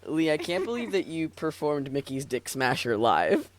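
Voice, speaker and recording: A man's voice: fairly high pitch, sing-song, moderate pace. A man co-hosting a casual livestream, riffing and joking with plenty of swearing. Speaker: stream co-host.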